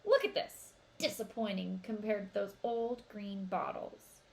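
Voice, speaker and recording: A woman's voice: medium pitch, a little slow, vocal fry, low-quality recording. A woman voicing an upbeat, boastful teenage big brother in a storybook read-aloud, with playful, emphatic delivery.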